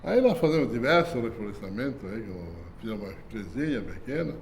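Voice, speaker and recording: A man's voice: on the low side, very animated, fairly quick, a little hiss or hum. Folksy older man. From southern Brazil. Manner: folksy and rambling, constant "né?" tags and soft chuckles. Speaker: company founder being interviewed. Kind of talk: casual reminiscing interview.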